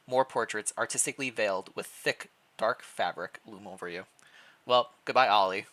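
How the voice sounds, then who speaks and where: A man's voice: fairly low pitch, moderate pace, a bit bright. A man narrating fan fiction expressively as a streamer, mixed with casual chat. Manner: stumbles over words while reading aloud, self-deprecating.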